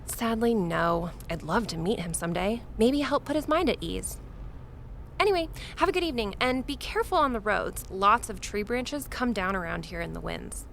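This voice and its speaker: Creaky-voiced, eerie woman ghost tour guide. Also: medium pitch, animated, moderate pace, a bit bright, slight background noise. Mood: cryptic. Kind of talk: spooky storytelling in an audio drama.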